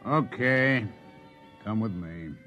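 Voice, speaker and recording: An American actor playing a big, thuggish agent in an old-time radio drama, gruff and commanding. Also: male voice, fairly low pitch, lively intonation, takes it slowly, sharp tone, faint music behind, exaggerated voice. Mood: curt, menacing. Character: big thuggish male control agent, bullying and curt.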